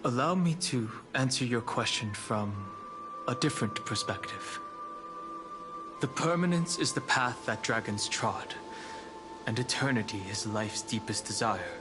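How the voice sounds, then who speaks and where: An actor playing an immortal dragon scion in a video game, composed and resolute, speaking in a measured, formal, stately way. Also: male voice, mid-range voice, close to an everyday voice. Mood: earnest resolve. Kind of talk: scripted video game voice acting. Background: game music.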